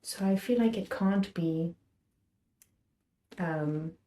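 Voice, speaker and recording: A young woman hosting her book channel, chatting about what she plans to read next in a rambling, self-correcting way, her voice a little hoarse from being sick. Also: fairly low pitch, slow, mellow. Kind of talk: casual chat about books.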